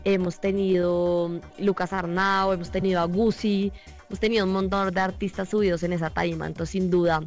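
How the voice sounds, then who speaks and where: A woman's voice: fairly low pitch, level tone, fairly quick, darkish tone, faint music behind. A woman with a Colombian accent speaking conversationally as an event organiser being interviewed.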